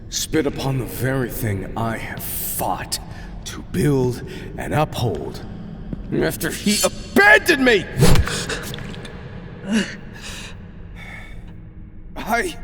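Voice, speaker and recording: A man playing a weary, proud, grieving god in a fantasy audio roleplay, solemn and formal, often breathy. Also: medium pitch. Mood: anguished, angry. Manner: solemn, measured, formal archaic phrasing. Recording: clearly noisy, ambient background sound.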